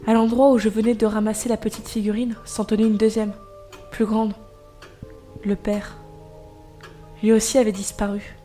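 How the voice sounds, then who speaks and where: An actress playing an anxious young mother, telling an eerie tale in measured first-person narration for an audio drama. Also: mid-range voice, little pitch movement, mellow, soft background music, lightly acted. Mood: uneasy, suspenseful.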